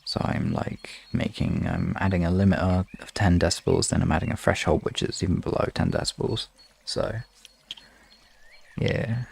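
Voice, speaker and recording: A man with a lowish voice full of heavy vocal fry chats informally on a livestream. He talks in halting, rambling phrases and swears casually. The home recording carries some faint noise. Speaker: streamer chatting with live viewers. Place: room in an apartment. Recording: clearly noisy home room recording.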